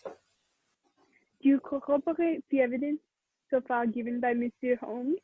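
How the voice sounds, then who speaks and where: A woman's voice: sits high, fairly even intonation, measured pace, breathy edge, dry recording. A woman playing a stern, authoritative male police prefect, formal and commanding, in a radio play. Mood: questioning. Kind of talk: 1940s radio play acting. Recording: tinny phone-like narrow band.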